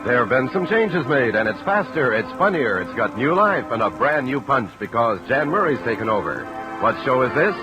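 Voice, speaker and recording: A man's voice: mid-range voice, big pitch swings, moderate pace, over music, rough recording. A man doing polished, scripted 1950s radio announcing, with a promotional delivery.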